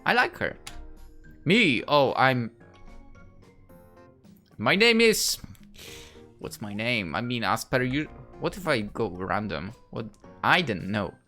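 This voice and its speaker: Animated young Polish-accented man commentating. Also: mid-range voice, slow pace, long pauses, soft background music. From Poland. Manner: playful, chatty, rambling. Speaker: gaming YouTuber. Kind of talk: casual let's play commentary, reading game dialogue aloud.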